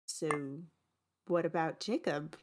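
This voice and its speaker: A woman's voice: sits low, slow pace, background noise. A woman playing a nosy, playful young friend who loves to tease, in an audio drama. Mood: playful, teasing.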